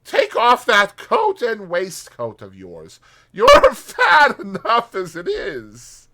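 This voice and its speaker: Man putting on a high, giggly young woman. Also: wildly swooping pitch, slow pace, pushed and bright, heavily acted. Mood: teasing, bossy. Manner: giggly, teasing, bossy. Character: teasing, giggly young woman, jailer's daughter.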